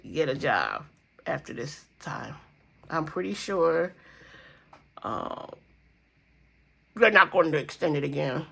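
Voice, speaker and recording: A woman's voice: lowish voice, creaky, fairly bright tone. A woman with a stuffy nose chatting casually to viewers about her budget, rambling with fillers and calling them guys and y'all. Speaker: budgeting vlogger. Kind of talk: casual chat to camera.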